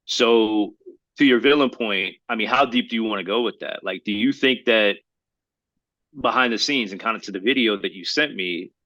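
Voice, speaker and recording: A man co-hosting a podcast in casual, slangy, opinionated chat, talking in a halting, rambling way and circling back to qualify his points. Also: lowish voice, bright, forceful tone, no room sound, low-quality recording. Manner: halting, rambling, hedging delivery; slangy, addresses listener as bro. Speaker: podcast co-host.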